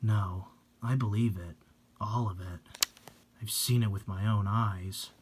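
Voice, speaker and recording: A man voicing a haunted, intense teenager who speaks gravely and insistently in a horror story. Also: on the low side, steady tone, measured pace, background noise, voice pushed down, lightly acted.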